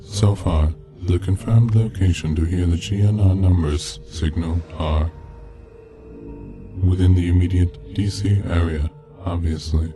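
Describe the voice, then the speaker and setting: A man reading a scripted narration in a measured, deliberate manner. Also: deep voice, steady tone. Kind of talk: scripted narration of a video game mystery. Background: background music.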